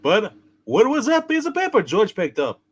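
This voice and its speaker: A man performs a naive, kindly junior angel in a hammed-up radio play. The voice is extremely high and wildly swooping, hesitant and full of curiosity. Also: huge pitch swings, normal speed, slightly rough, full character voice. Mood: eager, curious. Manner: hesitant, inquisitive.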